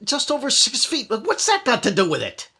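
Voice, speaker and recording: A man's voice: extremely high pitch, wildly swooping pitch, moderate pace, pushed and bright, slightly rough, exaggerated voice. A man acting in an old-time radio thriller as a desperate, scheming murderer who is urgent and commanding, by turns wheedling and menacing. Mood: urgent bargaining. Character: desperate, scheming male murderer trying to strike a bargain.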